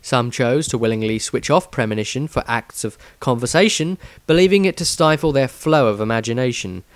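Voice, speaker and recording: A man reading his own fantasy story aloud in measured, even narration. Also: mid-range voice, no echo.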